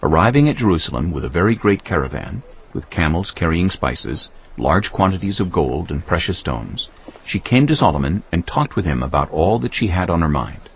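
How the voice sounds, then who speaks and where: Deep-voiced man narrating scripture with expressive range. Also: normal speed, muffled recording, a little hiss or hum.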